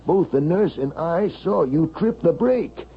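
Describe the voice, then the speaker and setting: An actor playing an earnest, probing doctor in a 1970s radio drama, questioning intensely and insistently. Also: male voice, a little high, expressive, a little slow, slight background noise. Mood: insistent, pressing. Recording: muffled vintage radio recording.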